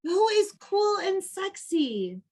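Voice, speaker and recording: Woman acting high-voiced, perky young graduate. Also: sing-song, slow pace, darkish tone, full character voice. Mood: defensive. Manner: girlish slang, emphatic. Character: Madison, perky, agreeable young graduate, earnest homebody. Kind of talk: online play reading, comedic dialogue.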